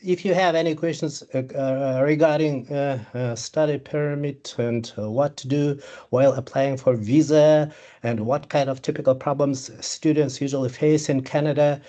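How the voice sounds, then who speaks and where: A man's voice: medium pitch, fairly even intonation, normal speed, dark tone, lo-fi sound. A man speaking on a video call who restarts and repeats words as he goes. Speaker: guest speaker. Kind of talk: casual panel talk.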